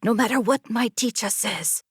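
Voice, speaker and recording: A woman voicing an eager, awestruck young trainee in a fantasy audiobook, with breathy, earnest wonder. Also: on the high side, moderate pace, no room sound, studio quality, voice pushed up. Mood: defiant.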